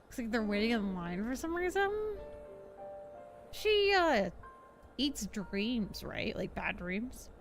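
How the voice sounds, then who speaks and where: A woman's voice: a little high, big pitch swings, slow pace, long pauses, music in the background. A young woman chatting casually and informally as she streams a video game, rambling and giggly. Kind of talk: casual livestream chat and game reactions.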